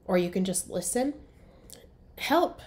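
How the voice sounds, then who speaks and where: A woman's voice: medium pitch, steady tone, measured pace, a little mellow. A woman, a primary school teacher, reading a storybook aloud in simple phrasing for young children. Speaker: first grade teacher.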